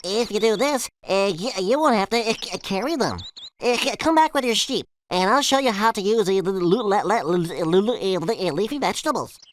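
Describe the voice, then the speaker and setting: A man's voice: sits high, animated. A man voicing a timid, friendly, dim pig farmer in a cartoon video game, bumbling along with a heavy stutter. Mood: bumbling, helpful. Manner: hesitant, bumbling, halting. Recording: slightly noisy game audio capture.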